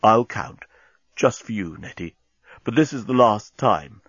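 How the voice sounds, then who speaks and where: Man voicing a slow, soft-spoken sulky bomb. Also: fairly low pitch, expressive, breathy edge, no echo, dull audio, full character voice. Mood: sulky, resigned. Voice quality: soft, quiet. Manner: slow, drawn-out counting.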